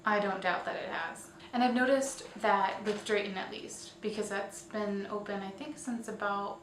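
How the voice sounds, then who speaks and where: Female vlog host, informal and hesitant, mid-pitched, recorded away from the mic in an echoey room. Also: fairly even intonation, moderate pace. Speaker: host of a weekly online show. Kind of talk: casual scripted-outline vlog commentary. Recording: roomy, distant mic, faint noise.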